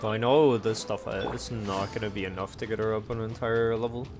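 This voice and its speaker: A man's voice: fairly low pitch, fairly even intonation, a little fast, background noise. A man giving casual gameplay commentary, rambling and thinking aloud as he plays. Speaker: game streamer. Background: video game music.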